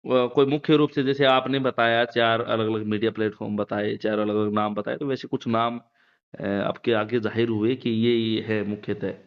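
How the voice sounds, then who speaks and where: Male news interviewer, smooth tone, roundabout and hesitant questioning, lo-fi call audio. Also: lowish voice, little pitch movement, moderate pace. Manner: hesitant, roundabout phrasing with repeated filler phrases. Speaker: interviewer, local news reporter. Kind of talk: phone interview, asking questions. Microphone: phone call. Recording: muffled, lo-fi phone call recording.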